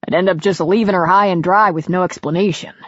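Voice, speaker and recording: A woman's voice: lowish voice, steady tone, fast talker, no echo, dull audio, lightly acted. A woman reading an audiobook as an earnest, protective man who is terse, grumbling and defensive. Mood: indignant. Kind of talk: audiobook character dialogue.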